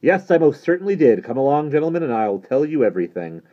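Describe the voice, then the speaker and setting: Storybook priest, male reader acting: learned, commanding, pitched up, lo-fi sound. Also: lively intonation, moderate pace. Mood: triumphant, eager. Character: emphatic, learned male priest leading an adventure. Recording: dull, muffled lo-fi recording.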